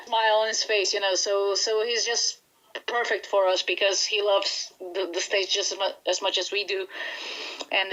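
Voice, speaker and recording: A woman's voice: mid-range voice, a bit bright, dull audio. Chatty Brazilian woman in an interview. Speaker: band musician being interviewed. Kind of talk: casual interview chat.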